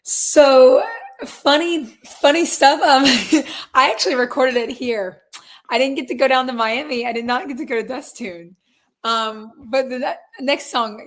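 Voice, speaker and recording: Raspy-voiced young woman, upbeat and laughing. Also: a little high, unhurried. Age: 20s. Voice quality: raspy, hoarse voice. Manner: upbeat, laughs often, many fillers. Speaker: guest musician. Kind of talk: casual interview chat. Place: closet at her grandparents' house.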